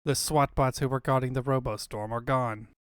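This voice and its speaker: A man's voice: mid-range voice, steady tone, moderate pace, clean tone, no echo, studio quality, lightly acted. A man voicing a servile, sly henchman to a villain in a comic book fandub. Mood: fawning. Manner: obsequious, servile.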